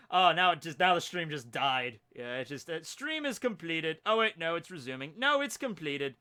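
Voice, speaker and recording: A man's voice: fairly high pitch, lively intonation, measured pace, sharp tone, clear, resonant tone. A man in his twenties hosting a live stream from a room at home, chatting casually while he fixes technical problems. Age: 20s. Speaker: stream host.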